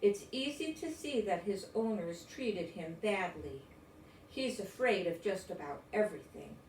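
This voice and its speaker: A woman's voice: mid-range voice, lively intonation, a little slow, a little mellow, natural voice. A weary, dismissive old man from a storybook, acted out by a woman. He grumbles emphatically in a folksy manner. The sound is roomy and a little distant, with faint noise behind. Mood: grumbling complaint.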